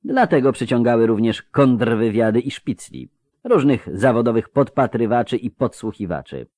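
A man's voice: medium pitch, expressive, moderate pace, darkish tone, dry recording, studio quality, voice pushed up, lightly acted. Man doing a drawling, calculating nobleman. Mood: knowing. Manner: drawling, stretched syllables.

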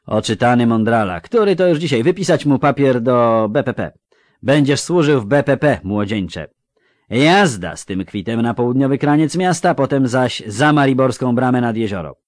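A man voicing a gruff, bored male army recruiting officer who snaps out curt questions. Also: mid-range voice, lively intonation, moderate pace, no room sound, clean studio sound, pitched above the performer's own voice, lightly acted. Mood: brusque.

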